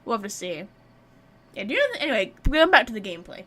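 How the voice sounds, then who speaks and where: Casting: female streamer, higher-pitched and lively, playful off-the-cuff gaming commentary. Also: fast talker. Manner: playful, reacting aloud to characters. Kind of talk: casual gameplay commentary.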